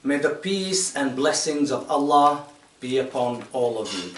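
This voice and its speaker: A man's voice: medium pitch, animated, fairly bright tone, a little hiss or hum. Man talking from far off in an echoey room. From Tanzania. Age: 50s. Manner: measured, explanatory, with pauses and fillers. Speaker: guest speaker to children. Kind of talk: informal talk to children. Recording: echoey room, distant mic.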